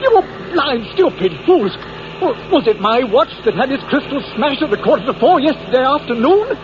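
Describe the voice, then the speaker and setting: A man's voice: very high-pitched, sing-song, normal speed. An actor playing an urgent, defiant man accused among bus passengers, declaiming emphatically in 1940s radio thriller style. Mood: indignant accusing. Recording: dull, noisy old radio drama.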